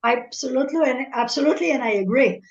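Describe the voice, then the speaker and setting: A middle-aged woman from Algeria whose first language is French, speaking as a guest analyst in an earnest, measured way and often repeating words as she searches for them. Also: sits high. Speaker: guest analyst, former military spokesperson. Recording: echoey room, dull lo-fi audio.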